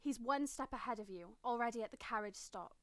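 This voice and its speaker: A woman's voice: a little high, brisk, fairly bright tone, no echo, clean studio sound, lightly acted. A woman playing a teasing, sceptical royal servant in an audio drama, with a casual delivery. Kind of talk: scripted audio drama acting.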